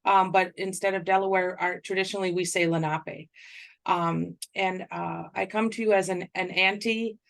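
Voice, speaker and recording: A woman from North America giving a keynote talk, soft and hesitant with many fillers. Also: lowish voice, steady tone, normal speed, gravelly. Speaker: keynote speaker.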